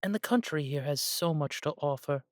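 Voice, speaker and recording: A man playing a warm, humble elf brother in a scripted fantasy audio roleplay, speaking in measured, slightly formal phrases. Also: a little high, lively intonation, dry recording, studio quality, natural voice. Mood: easygoing. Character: warm, humble elf brother without magic, devoted to family.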